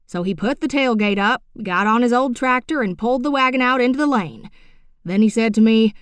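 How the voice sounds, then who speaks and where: Woman reading as a rural uncle telling a tall tale, drawling, folksy, smooth voice, dry sound. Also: fairly high pitch, brisk, no room sound, pitched above the performer's own voice, lightly acted. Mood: yarn-spinning. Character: middle-aged rural man, folksy tall-tale teller. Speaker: audiobook narrator. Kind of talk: audiobook character reading.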